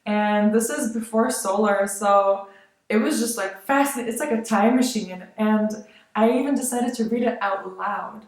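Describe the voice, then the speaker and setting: A young woman from Lithuania chatting casually as a vlogger, rambling with many fillers and soft laughs. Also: medium pitch, normal speed. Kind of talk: casual chat. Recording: very echoey room.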